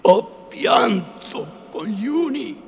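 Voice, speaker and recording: Man playing a stern old friar, high booming shouts, gravelly, slow, echoey hall. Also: big pitch swings, slight background noise, exaggerated voice. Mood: stern sorrow. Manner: solemn, rising to furious shouts. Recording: echoey hall, faint ambience.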